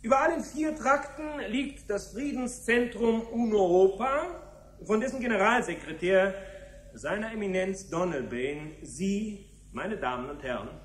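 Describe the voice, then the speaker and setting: A man's voice: high voice, animated, measured pace, roomy sound. A man playing an officious, courteous tour guide in a radio play, speaking in formal phrases. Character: officious male tour guide, polite and proper.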